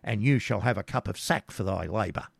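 A man's voice: fairly low pitch, fairly quick, creaky, breathy edge, no room sound, full character voice. A man voicing a boisterous, boastful hermit friar who drinks hard and blusters in old-fashioned English, in a storybook reading. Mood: jovial.

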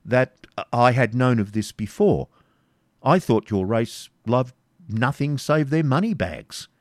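A man's voice: medium pitch, expressive, slow pace, no echo, studio quality, pitched above the performer's own voice. A man voicing a stern, cruel medieval baron who speaks in harsh commands.

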